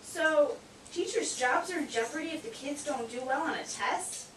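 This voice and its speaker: A woman voicing a cheeky, naive, excitable puppet sidekick in comic scripted banter. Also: sits high, normal speed. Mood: curious, cheeky. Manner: playful, exclamatory, wisecracking. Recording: echoey, distant, noisy room.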